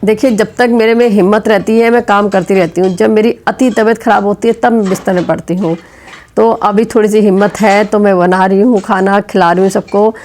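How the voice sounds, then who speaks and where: A middle-aged woman with a sore throat and fever talks her way through home chores in a chatty vlog. Her voice sits at an ordinary middle pitch, and she explains each step as she goes. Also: fairly even intonation, a little fast, darkish tone. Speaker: vlogger. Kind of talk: casual home vlog narration. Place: home kitchen.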